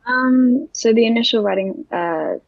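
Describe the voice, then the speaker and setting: A woman's voice: fairly high pitch, a little slow, creaky, dry recording, muffled recording. A young woman with an Australian accent, a singer chatting in an interview, bubbly and rambling with lots of giggles.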